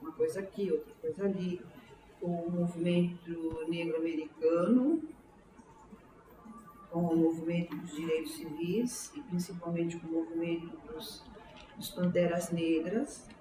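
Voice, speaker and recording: A woman in her sixties with a São Paulo Brazilian accent, recalling her life in an interview in a halting, reflective way, often repeating words and checking for agreement. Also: on the low side, mellow. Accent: São Paulo Brazilian Portuguese. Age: 60s. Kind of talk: oral history interview, autobiographical recollection. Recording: roomy, distant mic, faint background noise.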